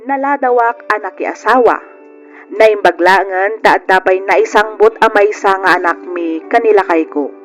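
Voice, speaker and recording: A woman's voice: mid-range voice, moderate pace, soft background music, lightly acted. An actress playing a married farming mother narrating her life story in an Ilocano radio drama, with a Filipino accent. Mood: reflective.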